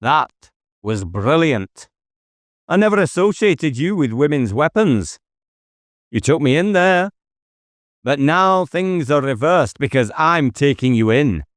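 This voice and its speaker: Agitated police inspector, played by a male narrator, pitched up, declamatory and challenging, dry audiobook sound. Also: sing-song, takes it slowly, no echo. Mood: taunting, commanding. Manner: challenging, raised declamatory delivery. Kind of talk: audiobook character dialogue.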